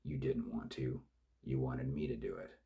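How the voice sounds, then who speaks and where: A man voicing a cold, menacing male killer with a rough, tobacco-cured voice and a slow, contemptuous drawl. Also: very deep voice, fairly even intonation, mellow, voice pushed down, heavily acted.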